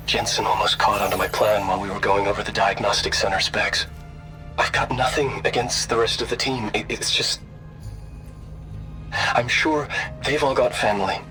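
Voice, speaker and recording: A man's voice: lowish voice, close to an everyday voice. A man playing a secretive, grieving man confiding in an audio log for a game, brooding, with music behind. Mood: wary.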